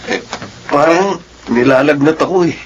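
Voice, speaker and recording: An actor plays a young working man, a worried and soft-spoken son in a radio drama. His voice sits a little high for a man. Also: moderate pace, dull audio, a little hiss or hum, lightly acted. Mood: weak, feverish.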